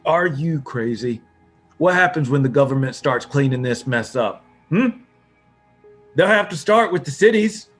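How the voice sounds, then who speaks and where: A man's voice: medium pitch, animated, unhurried. A man acting a stubborn, defensive survivor in a horror comic table read, insistent and argumentative. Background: music under the voice.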